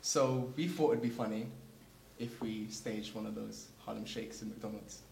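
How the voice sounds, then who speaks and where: A man's voice: lowish voice, steady tone, normal speed, vocal fry, darkish tone, reverberant, a little hiss or hum. A young man telling a story on a panel game, hesitant with many ums. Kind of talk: casual anecdote.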